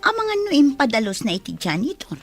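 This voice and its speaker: Woman playing a fretful scheming office lady, high voice swooping around, radio drama. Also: wildly swooping pitch, quick pace, music in the background, full character voice. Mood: curious. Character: fretful, debt-ridden scheming female office worker and sweetheart. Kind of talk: Ilocano radio drama acting.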